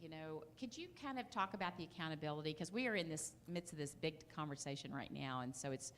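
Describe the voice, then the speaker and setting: A woman in the audience asking a panelist a question, loud and direct, pausing on ums. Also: low-pitched, lively intonation, a little hiss or hum.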